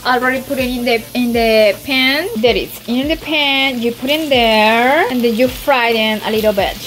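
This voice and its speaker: A woman in her forties with a Colombian Spanish accent, talking slowly and haltingly through a recipe in her home kitchen, filmed on a phone held at arm's length. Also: fairly high pitch, fairly bright tone, a little hiss or hum, low-quality recording. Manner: halting, explaining step by step with fillers. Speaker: vlogger. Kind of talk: casual cooking vlog. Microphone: phone camera at arm's length. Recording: music playing over the talk.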